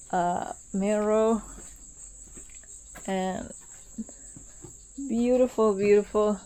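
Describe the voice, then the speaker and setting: A pregnant woman, speaking slowly and enthusiastically, repeating words as she narrates her travel vlog. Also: medium pitch, darkish tone, a little hiss or hum, poor audio. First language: Spanish. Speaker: travel vlogger. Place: outdoors at a lodge.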